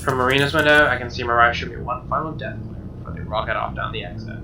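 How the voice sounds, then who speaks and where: A man's voice: mid-range voice, fast talker. Man narrating a visual novel, slightly distant. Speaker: video game player reading aloud. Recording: slightly distant mic under game music. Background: game music.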